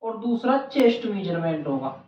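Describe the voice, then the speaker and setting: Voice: medium pitch, sing-song, moderate pace. A channel host giving an explainer on exam procedure, repeating key points for emphasis. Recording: echoey room, dull lo-fi, faint noise.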